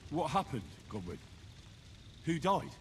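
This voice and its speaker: A man's voice: fairly high pitch, sing-song, a little slow, slight background noise. An actor playing an earnest, hot-headed young man in a medieval video game drama, speaking with impassioned conviction. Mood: worried.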